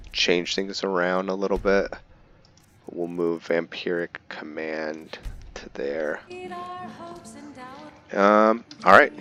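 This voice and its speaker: A man giving casual gameplay commentary, thinking aloud in halting fragments as he hosts a gaming video. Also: low-pitched, a bit bright. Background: game music and sound effects.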